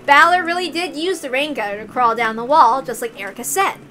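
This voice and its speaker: A woman's voice: high voice, a little fast, sharp tone, soft background music. A woman reading a visual novel aloud as a narrator, telling the story dramatically. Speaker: story reader.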